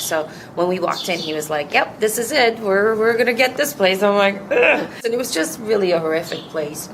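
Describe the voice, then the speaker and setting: A woman's voice: deep voice, vocal fry, noisy background. A woman in her fifties, originally from Turkey, earnestly answering interview questions as a coffee company co-founder, often restarting her sentences. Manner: reflective, earnest, with frequent restarts.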